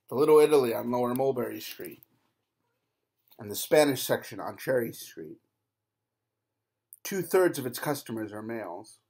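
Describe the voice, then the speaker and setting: A man reading an audiobook aloud in a measured narrating voice, with emphatic lifts. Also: mid-range voice, a little mellow. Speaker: audiobook reader.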